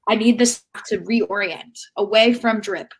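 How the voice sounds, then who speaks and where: An American woman co-hosts a casual sports discussion from home on a video call. Her voice is mid-pitched, and her delivery is wry, with carefully picked words. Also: unhurried, vocal fry, breathy edge. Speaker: co-host and team reporter. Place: room at home.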